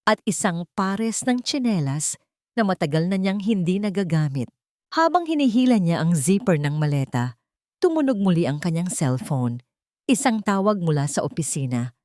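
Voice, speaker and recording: A woman's voice: lowish voice, a little mellow, no room sound, clean studio sound. A woman reading a dramatic scripted story as an audio drama narrator.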